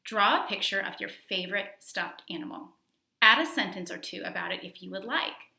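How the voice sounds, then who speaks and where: A woman reading a children's story aloud as a teacher, expressive, with emphasis on key words. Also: medium pitch, moderate pace, creaky, slightly rough.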